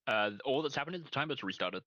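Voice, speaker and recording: A man with a deep voice, chatting casually and giving matter-of-fact updates on the stream. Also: animated, fast talker, bright, forceful tone, no room sound, dull audio. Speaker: tabletop game player. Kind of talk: casual chat.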